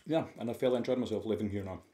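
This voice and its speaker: A deep-voiced Austrian man hosts an ASMR eating video. His English carries a Viennese accent with a trace of Scottish. He chats calmly and casually between mouthfuls. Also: racing, a little hiss or hum. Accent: Viennese Austrian with a Scottish tinge. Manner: relaxed, chatting between bites. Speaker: mukbang host.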